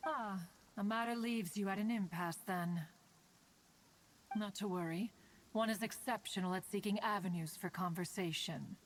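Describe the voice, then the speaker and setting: A woman's voice: medium pitch, normal speed, a little hiss or hum, lightly acted, poor audio. A woman voicing an ancient, dignified, motherly female immortal in a video game, with stately, archaic formal speech. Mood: composed, coaxing. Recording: soft game music behind the voice.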